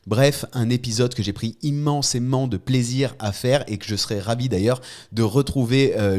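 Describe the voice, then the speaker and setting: A man hosting a podcast interview, speaking English with a French accent and often pausing to find his words. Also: lowish voice, a little mellow, no echo, studio quality. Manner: hesitant, searching for English words. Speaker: podcast host. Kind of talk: interview intro and questions.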